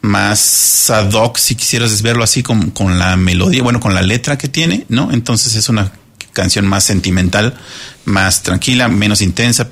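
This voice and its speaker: Hombre de voz grave y rasposa, acento mexicano. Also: male voice, low-pitched. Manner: relaxed, many fillers and tag questions. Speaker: musician guest. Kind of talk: radio interview.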